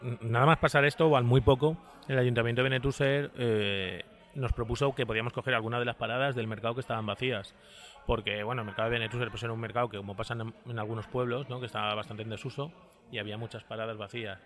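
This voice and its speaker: A man's voice: mid-range voice, steady tone, quick pace, a bit bright. A man, a bookshop owner answering conversationally in an interview.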